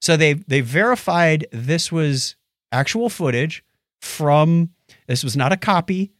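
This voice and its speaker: A man's voice: on the high side, lively intonation, slow pace, fairly bright tone, no echo, clean studio sound. A man hosting a podcast, casually explaining and telling stories in a conversational way. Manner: explanatory, storytelling. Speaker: podcast host. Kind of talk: casual chat.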